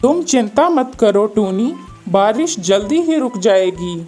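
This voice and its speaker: A woman's voice: mid-range voice, expressive, measured pace, dark tone, faint music behind. A woman voicing an earnest male pigeon, a worried husband and father, in a children's cartoon. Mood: reassuring. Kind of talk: children's cartoon story acting.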